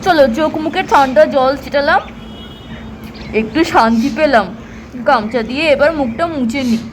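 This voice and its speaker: Woman doing bossy village husband in a doll cartoon, really high voice, keeps urging, bit of background noise. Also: moderate pace, natural voice. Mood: chatty, contented. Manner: instructing, chatty, repetitive urging. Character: village farmer husband, bossy, fitness-proud, fond of exercise. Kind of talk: acted children's doll-play cartoon dialogue.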